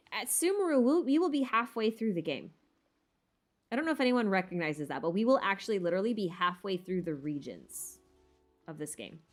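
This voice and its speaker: A woman hosting a casual livestream Q&A, talking informally and hesitantly as she thinks aloud. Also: lowish voice. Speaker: livestream host answering viewer questions. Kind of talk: casual livestream Q&A chat about game lore.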